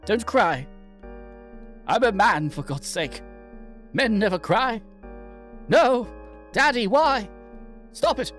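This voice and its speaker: A man acting an anxious, tormented submariner, wildly swinging pitch. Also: expressive, slow pace, long pauses, fairly bright tone, faint music behind, full character voice. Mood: desperate. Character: anxious, tormented submariner narrating his own humiliation. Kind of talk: dramatic reading of a horror visual novel.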